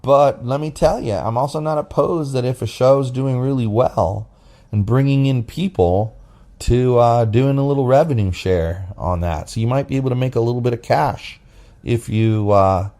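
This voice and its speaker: A man hosting a casual podcast with his wife, talking in a deep, bassy voice and rambling loosely with restarts and fillers. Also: normal speed, dark tone. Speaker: podcast host. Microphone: phone app recording.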